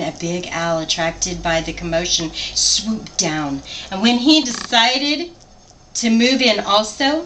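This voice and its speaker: A woman reading a children's picture book aloud expressively, pausing to put simple questions to her listeners. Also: medium pitch, fairly bright tone. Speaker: storybook reader. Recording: distant mic, faint background noise.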